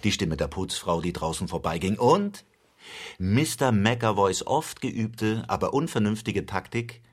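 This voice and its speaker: An actor playing a self-assured, coolly logical detective who lays out a case in an articulate, measured radio drama style. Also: male voice, on the low side, expressive. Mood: matter-of-fact. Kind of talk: radio drama acting, explanatory monologue.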